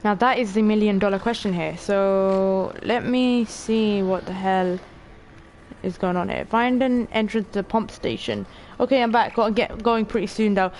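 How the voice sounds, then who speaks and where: A woman in her late teens with a stuffy, sniffly voice chats through a game stream. She is excitable and offhand, swearing casually and talking straight to her viewers at an ordinary pitch, with a faint hiss behind. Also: moderate pace. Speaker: gaming streamer. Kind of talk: casual let's-play commentary.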